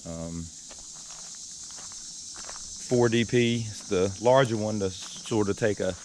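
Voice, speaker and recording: A man chatting casually for a car vlog in an informal, plain-spoken, slangy way. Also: on the low side. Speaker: car owner and vlogger showing his car. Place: in and around a car outdoors. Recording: loud vehicle and outdoor noise.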